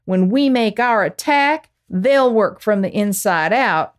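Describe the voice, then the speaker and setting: A woman acts out a ruthless young female outlaw boss in a Western radio drama. She speaks slowly in a commanding American cowboy drawl, swinging widely in pitch as she threatens her gang. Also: lively intonation. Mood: ordering, businesslike. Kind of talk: Western radio play acting.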